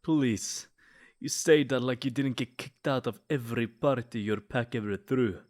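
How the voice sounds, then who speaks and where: A man's voice: medium pitch, normal speed, a little mellow, close to an everyday voice. Lovesick male vampire, played by a man for comedic ASMR roleplay: jealous, snide, theatrically flirtatious. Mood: mocking, flirtatious.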